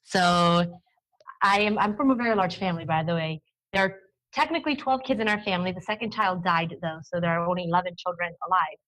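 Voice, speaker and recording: A woman's voice: fairly low pitch, fast talker, a bit bright, low-quality recording. Woman in her thirties, born in Romania, Romanian first language, interview guest, chatty, easy storyteller. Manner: chatty, storytelling, laughs easily. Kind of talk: conversational interview.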